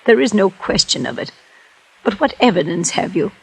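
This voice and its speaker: An actress playing a wealthy, shrewd older widow in a 1950s radio drama, formal and emphatic with a husky voice. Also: female voice, mid-range voice, expressive, normal speed, heavily acted, low-quality recording. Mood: bitter.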